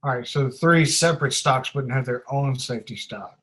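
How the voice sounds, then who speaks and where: A man's voice: mid-range voice, fairly quick, fairly bright tone. A male student talks in a mid-range voice on an online class call. He speaks casually, putting questions and restating ideas to check his understanding. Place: online video conference.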